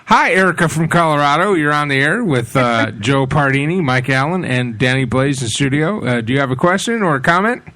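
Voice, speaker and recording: A man's voice: a little high, animated, a little fast, few pauses, no echo. A man in his forties hosting a radio talk show, bantering and laughing with callers. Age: 40s. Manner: jokey, laughs often, loud hype. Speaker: radio host.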